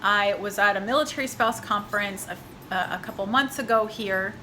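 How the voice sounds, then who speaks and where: A woman's voice: mid-range voice, moderate pace, bright, forceful tone, slight background noise. A young woman from Texas, chatting upbeat in a casual vlog introduction. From Texas, USA. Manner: upbeat and chatty, with light laughs. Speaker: vlogger, military spouse.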